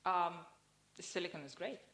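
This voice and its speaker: A woman's voice: on the low side, normal speed, fairly bright tone. A woman answering audience questions after her talk, explaining firmly with some hesitant starts. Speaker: conference speaker answering questions. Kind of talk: academic Q&A. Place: lecture hall. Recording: lecture hall reverb, faint noise.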